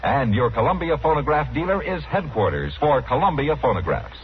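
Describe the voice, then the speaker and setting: A man's voice: mid-range voice, big pitch swings, a little fast. An American man reading a scripted 1950s radio commercial with polished, enthusiastic salesmanship. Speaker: commercial announcer. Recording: muffled vintage radio recording, faint hiss.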